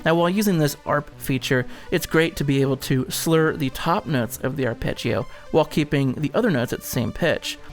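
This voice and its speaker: A man's voice: fairly high pitch, a little fast, few pauses, over music. A man presenting an instructional product demonstration, explaining things step by step.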